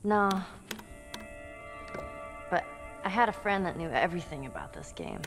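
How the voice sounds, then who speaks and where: A woman's voice: fairly low pitch, a little slow, vocal fry, music in the background, exaggerated voice. An actress playing a spunky, curious teenage girl who talks chattily and cracks wise in a video game. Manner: chatty, lively, wisecracking.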